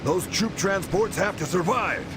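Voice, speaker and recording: High, forceful fleet commander. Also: male voice, expressive, measured pace. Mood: urgent, commanding. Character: commanding, resolute male American naval fleet commander. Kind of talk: video game military orders. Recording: loud music and engines behind.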